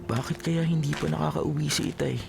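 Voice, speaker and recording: A man's voice: a little high, fairly even intonation, brisk, creaky, loud music behind the voice. A man playing a devoted, worried young son in a Filipino radio drama, with an earnest, dramatic delivery. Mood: alarmed.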